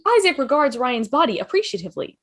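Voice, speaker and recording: A woman's voice: sits high, very animated, quick pace, faint music behind. A woman reading stage directions as scripted narration at a table read over a video call. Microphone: video call microphone.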